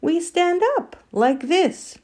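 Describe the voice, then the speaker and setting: A woman's voice: extremely high pitch, takes it slowly, a little mellow, pitched above the performer's own voice. A woman voicing an earnest, literal-minded male bear in a storybook, simple and emphatic in manner. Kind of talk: storybook character voice.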